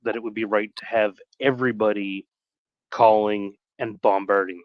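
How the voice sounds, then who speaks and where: A man chatting casually on a live stream in a halting, rambling way, often correcting himself. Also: on the low side, fairly even intonation, dry recording, narrow, tinny audio.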